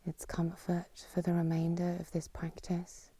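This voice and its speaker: Female meditation teacher, lowish soft breathy voice, calm and unhurried, close dry sound. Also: dry recording. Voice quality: soft, breathy, half-whispered. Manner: calm, soothing, unhurried with long pauses. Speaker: yoga nidra teacher. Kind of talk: guided meditation, slow spoken instructions.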